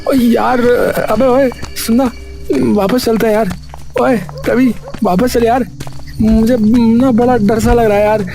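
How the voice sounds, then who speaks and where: Man playing timid village lad, cartoon, very high pitch, whiny, pleading, colloquial, faint music. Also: fairly even intonation, measured pace, dark tone. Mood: scared pleading.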